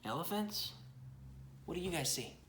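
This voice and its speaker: A woman's voice: fairly low pitch, expressive, normal speed, fairly bright tone, reverberant, a little hiss or hum. A woman reading a children's Bible story aloud expressively, chatting with a young child and asking simple questions.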